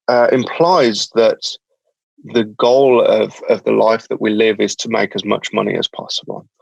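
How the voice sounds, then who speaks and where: A man's voice: on the low side, creaky, no room sound, low-quality recording. A man with a Birmingham accent, a company founder talking as a podcast guest, hesitant and full of ums and restarts. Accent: Birmingham English. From England, Birmingham. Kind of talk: casual interview talk.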